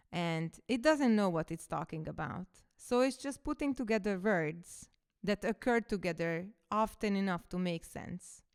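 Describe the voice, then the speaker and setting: A woman's voice: fairly low pitch, clear, resonant tone. A woman in her thirties, a coach and podcaster whose first language is Hungarian, telling her story in a reflective, casual monologue.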